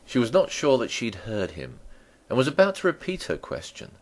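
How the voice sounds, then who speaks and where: A man narrating a classic novel as a dramatic reading, with theatrical, deliberate phrasing. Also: mid-range voice, wildly swooping pitch. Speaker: audiobook narrator.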